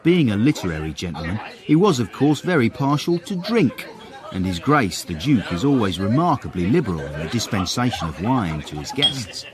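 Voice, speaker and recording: An actor playing a young English manservant, deferential, measured and droll, acting and narrating in a period radio drama. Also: male voice, lowish voice, sing-song, crowd noise. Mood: droll narration. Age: young adult. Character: discreet, respectful, ambitious young English manservant. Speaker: servant and narrator.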